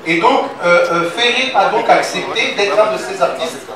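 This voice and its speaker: A man speaking as a music platform representative at a press conference, halting with many fillers and repeated words. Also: high voice. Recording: echoey hall, distant mic, crowd noise.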